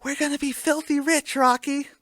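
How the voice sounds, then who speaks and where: A woman voicing an excitable, easily shocked chicken in a radio comedy sketch, exclaiming melodramatically. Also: high voice, moderate pace, no room sound, clean studio sound, voice pushed up. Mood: gleeful.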